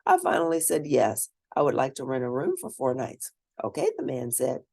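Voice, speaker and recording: A woman reading a scripted first-person story aloud, expressive and deliberate, with a husky, gravelly voice. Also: a bass voice, no room sound, clean studio sound. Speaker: read-aloud narrator.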